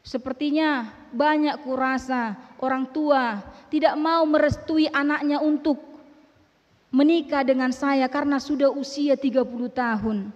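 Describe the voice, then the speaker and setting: A woman's voice: high voice, fairly even intonation, unhurried, a little mellow, highs cut off. A woman preaching a sermon, emphatic and asking the congregation rhetorical questions. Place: church. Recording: reverberant church hall.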